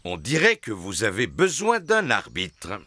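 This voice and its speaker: A man's voice: fairly low pitch, wildly swooping pitch, unhurried, pushed and bright, dry recording, exaggerated voice. A man voicing a jolly, authoritative daddy pig in a French-dubbed preschool cartoon, with a proclaiming delivery. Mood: calm authority.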